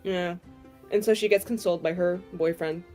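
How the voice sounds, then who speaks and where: A woman's voice: lowish voice, fairly even intonation, moderate pace, a little mellow, faint music behind. A young woman chatting casually as a player in a tabletop roleplaying game. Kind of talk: casual group chat.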